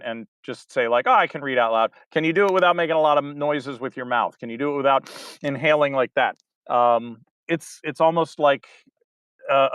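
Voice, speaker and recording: A man's voice: medium pitch, fairly bright tone, clear, resonant tone, dry recording. A man, a community theater director, chatting casually as an interview guest, rambling a bit and laughing easily.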